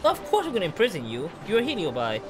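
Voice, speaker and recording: A man's voice: high-pitched, wildly swooping pitch, fast talker. A man with a Brooklyn accent giving casual, joking commentary while playing a game on a live stream. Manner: chatty, joking. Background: game music.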